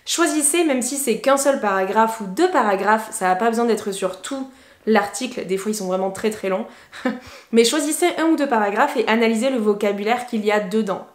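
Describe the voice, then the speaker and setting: A woman's voice: on the high side, moderate pace, reverberant. A woman English teacher, giving casual, encouraging tips to camera with plenty of fillers. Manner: chatty, encouraging, many fillers.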